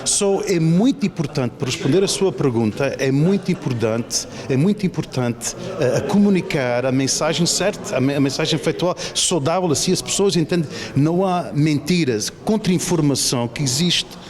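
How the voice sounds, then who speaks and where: Higher-voiced Canadian-born man, emphatic and repetitive. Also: animated, darkish tone. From Toronto, Canada. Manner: emphatic, repetitive, halting search for words. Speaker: television producer being interviewed. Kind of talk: spontaneous interview answers. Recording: busy crowd chatter around the speaker. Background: crowd of people talking.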